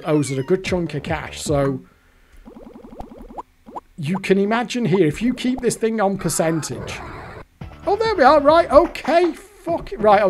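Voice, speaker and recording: A hoarse British man giving rambling, exasperated commentary while playing a fruit machine, swearing often. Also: high voice. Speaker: video host.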